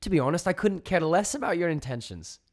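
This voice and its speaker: A man's voice: lowish voice, lively intonation, normal speed, mellow, slightly rough, pitched above the performer's own voice. A man voicing a blunt, irreverent and defiant woman in a science fiction story. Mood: indignant, defiant.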